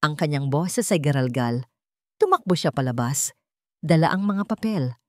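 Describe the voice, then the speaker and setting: A woman's voice: sits low, lively intonation, moderate pace, no echo, studio quality. A woman reading scripted narration for a dramatic story in the manner of a storyteller.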